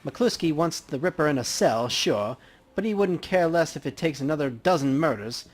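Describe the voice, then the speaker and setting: Guy doing a chatty coroner for a game, folksy, kinda high, pitch jumps around a lot. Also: animated, moderate pace. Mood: chatty, upbeat. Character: chatty, folksy male coroner at a crime scene. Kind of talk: acting out video game dialogue.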